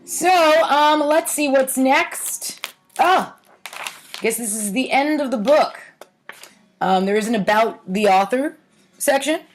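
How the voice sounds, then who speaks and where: A woman's voice: sits high, lively intonation, takes it slowly, pauses often, slight background noise. A woman reading stories aloud to viewers, theatrical in the reading with wry, casual, offhand commentary. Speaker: host reading aloud childhood writing.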